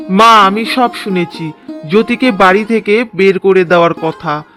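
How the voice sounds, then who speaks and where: A man's voice: high-pitched, a little slow, pushed and bright, soft background music. A man playing an earnest, reproachful young village son in a cartoon drama. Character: indignant, dutiful young married village son. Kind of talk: Bengali cartoon drama dialogue.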